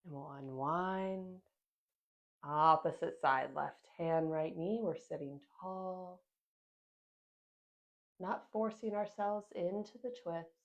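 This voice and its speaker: A woman yoga instructor calmly cueing a seated class, a little sniffly from a sinus infection. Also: fairly low pitch. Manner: gentle, calm cueing with soft pauses.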